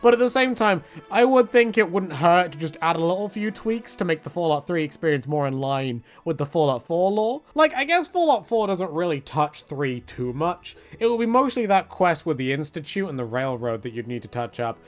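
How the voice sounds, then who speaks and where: A man's voice: on the high side, big pitch swings, moderate pace, few pauses. A man giving casual, opinionated video game commentary in a rambling, joking, self-deprecating and slangy way. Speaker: gaming video creator.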